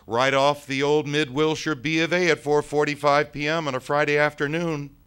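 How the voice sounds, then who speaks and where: Horror-story ghost played by a man: male, smarmy, taunting, theatrical, pitched up and sharp. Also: very animated, slow, heavily acted. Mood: flippant. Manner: theatrical, mock-sincere, swinging into loud exclamations.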